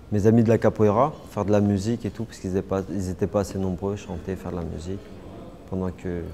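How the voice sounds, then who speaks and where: Hesitant man, low mellow voice. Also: a little hiss or hum. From Paris suburbs, France. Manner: hesitant, many fillers and restarts. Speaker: interviewee, martial artist. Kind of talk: casual interview answers.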